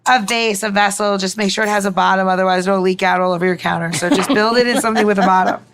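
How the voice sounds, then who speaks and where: A woman's voice: mid-range voice, fairly even intonation, quick pace, fairly bright tone, a little hiss or hum. A woman mixologist giving a casual, informal demonstration, chatting and explaining as she goes. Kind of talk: casual cooking-style demonstration.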